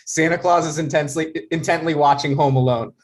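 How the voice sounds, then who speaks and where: A man's voice: fairly high pitch, fairly even intonation, a little fast. A man narrating the stage directions of a comedy script at a table read.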